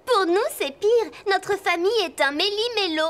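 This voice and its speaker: A woman voicing an excitable, bossy young girl rider in a French animated series dub, lively and expressive. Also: extremely high pitch, normal speed. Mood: curious, chatty.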